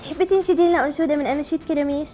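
A woman's voice: high-pitched, fairly even intonation, racing, mellow, soft background music. A woman hosting a chatty live TV call-in, asking simple, encouraging questions. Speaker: TV show host.

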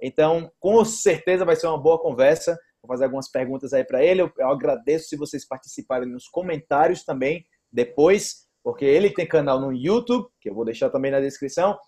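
A man's voice: a little high, fairly quick, hardly any pauses, dark tone, slightly rough. A young man hosting a casual interview over a video call, upbeat and chatty, laughing easily, speaking through an earphone mic in a small room. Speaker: host.

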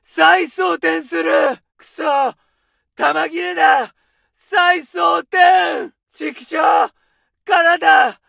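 A young infantry soldier in a war game who barks short orders in a high, strained shout, his voice bright and gravelly. The lines come slowly and deliberately. The recording is dry and close. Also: fairly even intonation, no echo, heavily acted. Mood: urgent. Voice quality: gravelly, barked commands. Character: young male infantry soldier, gruff and urgent.

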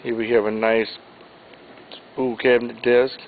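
A man's voice: mid-range voice, level tone. Man listing auction items, tinny audio. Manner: plain, unhurried item-by-item listing. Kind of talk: informal walkthrough narration. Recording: tinny phone-like audio, faint hiss.

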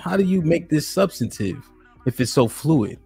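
Husky man hosting a live stream. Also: a little high, sing-song, normal speed, dark tone, faint music behind. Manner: questioning, short acknowledgements. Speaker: host. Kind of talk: casual live stream talk show. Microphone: studio mic on a boom.

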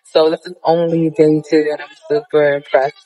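A woman's voice: deep voice, level tone, normal speed, warm tone, muffled recording. A young woman vlogging casually to her phone while lying outdoors on a windy cruise ship deck, chatty and slangy. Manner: chatty, slangy, laid-back. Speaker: travel vlogger. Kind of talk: casual chat to camera. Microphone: phone held at arm's length.

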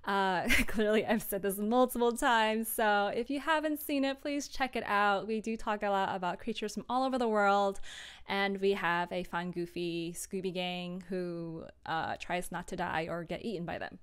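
A young woman hosts an informal livestream from home in a clean, smooth voice of medium pitch. She explains things in a casual, chatty way, with a giggly, self-deprecating streak. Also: normal speed. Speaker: audio drama creator and livestream host. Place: small home room, indoors.

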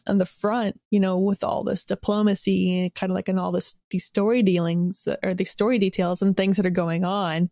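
A woman gives a casual interview in a mid-pitched voice. Her phrasing is informal and self-deprecating, full of small qualifiers. The sound is dry, with no room around it. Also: darkish tone, dry recording. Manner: informal and self-deprecating, hedging with frequent "like" and "kind of". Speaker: interview guest, audio drama writer.

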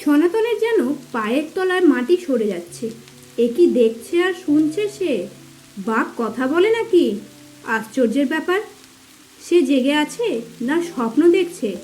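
A woman with a high, mellow voice narrates a scripted fairy tale. She tells it as a storyteller for a cartoon story, keeping a soft, rounded tone. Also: sing-song, measured pace, a little hiss or hum, low-quality recording.

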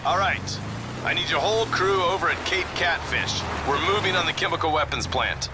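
An actor playing a pushy, arrogant crew boss in a video game, commanding and smug. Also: male voice, on the high side, animated, moderate pace, background noise. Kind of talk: video game dramatic acting.

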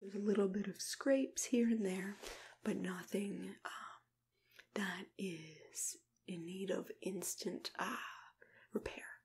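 A young adult woman in an ASMR roleplay as a dentist, speaking in a soft, breathy, mostly whispered voice with a gentle, reassuring manner. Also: medium pitch, slow pace, a little hiss or hum. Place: small plain room indoors.

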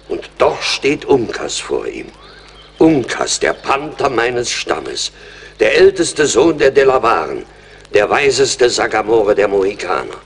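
An actor playing a venerable, weary old Delaware chief in a German radio play, speaking in solemn, ceremonious oratory. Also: male voice, on the low side, vocal fry, exaggerated voice. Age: older. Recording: faint background noise.

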